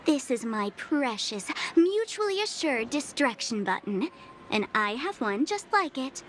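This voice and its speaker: A woman's voice: sits high, sing-song, unhurried, a little hiss or hum. An actress playing a playful, dangerous female trickster in a video game, coy and taunting in a sing-song way. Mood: mocking, playful. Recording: music under the voice.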